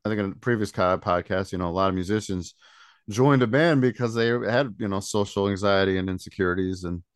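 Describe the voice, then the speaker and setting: A man's voice: fairly low pitch, a little fast, clean tone, no room sound, clean studio sound. An American man hosting a podcast, chatting in a casual, rambling, informal way. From Indiana, United States. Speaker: podcast host. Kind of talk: casual chat.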